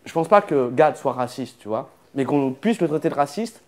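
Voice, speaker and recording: A young man speaking at a mid pitch in a loose, casual conversation. He picks his words carefully and builds his points step by step. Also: expressive, normal speed. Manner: thoughtful, weighing his words. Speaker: comedian guest.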